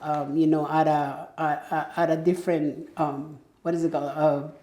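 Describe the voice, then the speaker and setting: A woman's voice: low-pitched, level tone, moderate pace, dark tone. A middle-aged woman from El Salvador, talking casually as an interview guest with many fillers and restarts. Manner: conversational, frequent fillers and restarts. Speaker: guest entrepreneur. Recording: echoey room.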